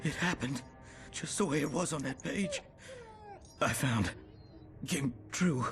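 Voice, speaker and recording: A badly hurt, frightened victim in a video game, gasping and weak. His voice is high and extremely slow, with broken phrases under prominent music. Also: expressive. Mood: weak, afraid. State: coughing, weak, breathless. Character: wounded, terrified man gasping for help. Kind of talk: video game cutscene acting.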